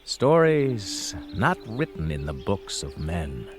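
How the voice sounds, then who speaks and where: A very low, slow male narrator with a portentous, poetic delivery. He is a brooding radio drama storyteller, over faint music. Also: sing-song, heavily acted. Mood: solemn, poetic. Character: solemn, brooding storyteller of the wilderness.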